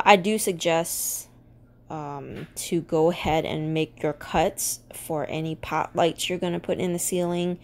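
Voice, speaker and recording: A woman's voice: deep voice, a little mellow. A woman casually narrating a home renovation video, chatty and full of ums while giving practical tips.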